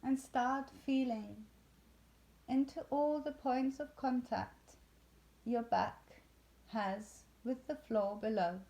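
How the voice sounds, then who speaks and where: A woman leading a guided yoga nidra meditation in a soothing, unhurried way with long pauses. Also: a little high, dark tone, low-quality recording.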